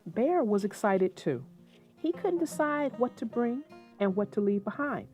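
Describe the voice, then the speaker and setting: A woman's voice: on the high side, animated, a little slow. A woman host narrating a children's storybook as a read-aloud. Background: music, plucked guitar.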